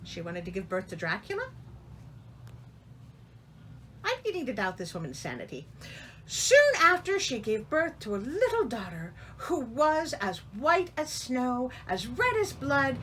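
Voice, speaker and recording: A woman's voice: mid-range voice, a bit bright, slight background noise. A woman reading a fairy tale aloud expressively, with theatrical, incredulous and wry asides. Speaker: storyteller and host.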